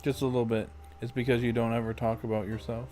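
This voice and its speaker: A woman's voice: very deep voice, flat delivery, fast, mellow, faint music behind. A woman reacting casually to a game as a streamer, in a playful, teasing way.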